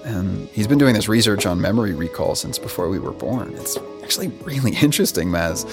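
Creaky-voiced, easygoing young boyfriend. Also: male voice, on the low side, lively intonation. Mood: preoccupied, defensive. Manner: halting, casual, good-natured. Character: easygoing young boyfriend, distracted and mildly teasing. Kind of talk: naturalistic audio drama acting. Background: music.

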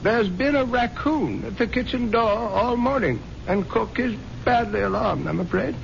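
An actor playing a formal, servile household butler, politely fretful, in a 1970s radio drama. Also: male voice, extremely high pitch, lively intonation, normal speed, gravelly, exaggerated voice. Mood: anxious, polite. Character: deferential, worried household butler. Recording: muffled vintage radio broadcast, faint noise.

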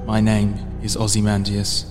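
A man giving a measured, solemn scripted reading as a poetry podcast host. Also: lowish voice, completely flat delivery. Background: instrumental music, plucked guitar.

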